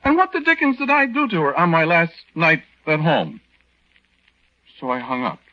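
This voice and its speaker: A man's voice: a little high, very animated, normal speed. A man playing a meek, earnest young American army private in a 1940s radio drama, hesitant and stammering, confiding his story with folksy exclamations. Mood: confiding, agitated. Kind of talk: 1940s radio drama acting, confiding first-person narration. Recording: dull, band-limited 1940s radio recording.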